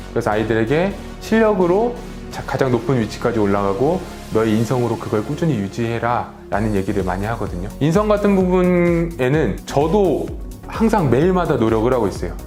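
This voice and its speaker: A man's voice: mid-range voice, a little mellow. Earnest man in an echoey room. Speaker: educator at an education company. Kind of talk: testimonial interview. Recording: roomy echo, faint music. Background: faint music.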